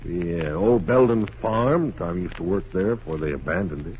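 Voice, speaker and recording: Folksy western sheriff, fairly low lively voice, deliberate questioning, radio play, muffled old recording. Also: male voice, slight background noise. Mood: pondering. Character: plain-spoken, folksy small-town western sheriff. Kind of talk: 1950s western radio acting.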